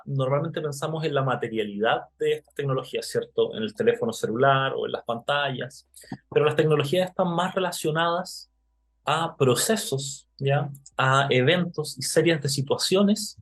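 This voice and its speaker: A young man from Chile, with a Chilean accent, giving a live online talk about his art and stopping often with fillers and restarts. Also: mid-range voice. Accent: Chilean Spanish. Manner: hesitant, many fillers and restarts.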